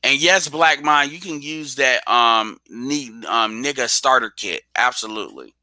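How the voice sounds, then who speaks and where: Blunt, bright-voiced man hosting a stream. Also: medium pitch, lively intonation, a little slow, no echo. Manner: blunt, profane, talks straight at listeners. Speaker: host of a live audio stream. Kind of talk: casual live chat.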